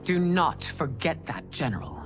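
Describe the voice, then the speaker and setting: A stern, imperious empress with a low register and sweeping, expressive intonation. She speaks formally and with command, as in a game cutscene, over a clear musical score. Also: unhurried, loud music behind the voice. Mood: stern, displeased. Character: stern, regal mature empress and mother. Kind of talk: dramatic video game cutscene acting.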